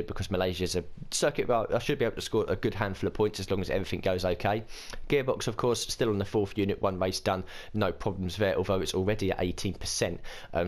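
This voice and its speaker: Hoarse low-voiced man, fast gaming chat. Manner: chatty, thinks aloud with many uhs. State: hoarse and croaky from a cold. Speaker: gaming channel host. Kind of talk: casual let's-play commentary.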